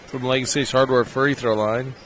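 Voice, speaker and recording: A man calling play-by-play at a high school basketball game, rattling off names and rising on made shots. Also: fairly even intonation, fairly bright tone. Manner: rapid name calls, rising on made shots. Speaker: play-by-play announcer. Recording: game broadcast with gym noise. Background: crowd and court noise of the game.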